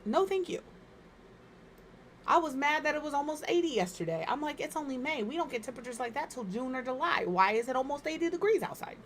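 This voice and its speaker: A woman from Minnesota streaming from home, chatting casually and rambling, her voice slightly hoarse and crackly as it recovers. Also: high voice, expressive, fast. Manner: rambling, chatty, self-deprecating. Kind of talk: casual chat while gaming.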